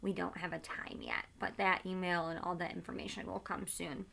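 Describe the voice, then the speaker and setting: A woman's voice: on the low side, fairly even intonation, moderate pace, gravelly, fairly bright tone. A woman speaking as a teacher, talking informally to her pupils and reading a storybook aloud.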